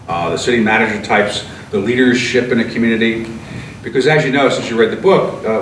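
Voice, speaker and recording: A man in his sixties, a retired fire service veteran, answering interview questions in an earnest, steady way with many fillers and restarts. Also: mid-range voice, recorded from a distance. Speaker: retired fire chief and author. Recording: echoey room, voice from off-mic, clear background noise.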